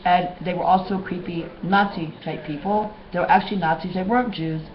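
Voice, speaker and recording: A hoarse woman talking to her webcam in a rambling, repetitive way, often restarting her sentences. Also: on the low side, steady tone, fairly quick, lo-fi sound, crowd noise. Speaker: vlogger. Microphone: webcam mic.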